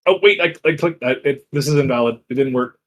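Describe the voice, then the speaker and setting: A man's voice: mid-range voice, fast talker. A man talking casually as a player in a tabletop roleplaying game.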